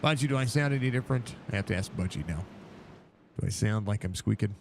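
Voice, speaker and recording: A man with a stuffy, congested voice calling a live sim race, excited and rambling with lots of fillers. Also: lowish voice, fast, slight background noise. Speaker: sim racing broadcaster.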